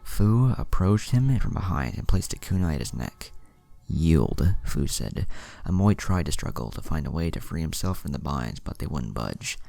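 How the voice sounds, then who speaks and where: A man's voice: very deep voice, big pitch swings, moderate pace, creaky, hardly any pauses, dark tone, no room sound. A man narrating an anime fan story, reading out both the action and the dialogue.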